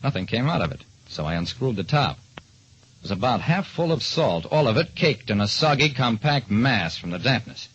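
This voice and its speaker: A man's voice: mid-range voice, very animated, normal speed, fairly bright tone, husky, muffled recording. A man narrating and hosting in 1940s radio style, on an old radio recording. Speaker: detective show star and quiz host.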